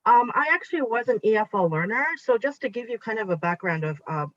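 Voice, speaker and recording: A woman's voice: on the high side, lively intonation, quick pace. Chatty woman presenting over a video call. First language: Japanese. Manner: chatty, encouraging, guides the audience step by step. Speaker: workshop presenter for teachers. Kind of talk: online teacher workshop presentation. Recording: dull lo-fi video call audio.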